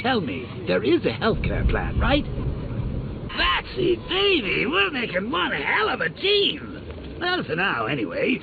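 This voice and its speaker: A man's voice: high-pitched, wildly swooping pitch, takes it slowly, full character voice. An actor voicing a manic male clown villain in a video game, taunting in a theatrical, mocking way with sudden cackles. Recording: tinny lo-fi capture with clear hiss.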